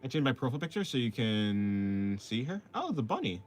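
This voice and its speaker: A man's voice: medium pitch, very animated, a little fast, a little hiss or hum. A man of twenty, a live-streaming artist, chatting casually with his viewers and answering their comments while he draws. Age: 20s. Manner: laid-back, reads chat aloud and answers. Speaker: art streamer.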